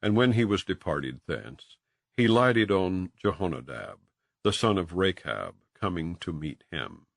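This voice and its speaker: A man's voice: deep voice, sing-song, unhurried, creaky, no echo, clean studio sound. A man reading scripture as an audiobook narrator, with a solemn, dramatic storytelling delivery. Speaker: audiobook reader. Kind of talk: scripted scripture narration, King James Bible.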